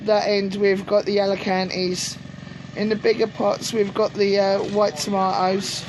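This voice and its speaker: A woman with a gruff voice from a sore throat, chatting casually and rambling with many ums as she shows round her greenhouse on camera. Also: mid-range voice, little pitch movement, measured pace. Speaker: allotment vlogger. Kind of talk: casual walk-through chat. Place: greenhouse on an allotment, outdoors. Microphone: camera on a tripod. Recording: outdoor noise, lawnmowers and traffic behind.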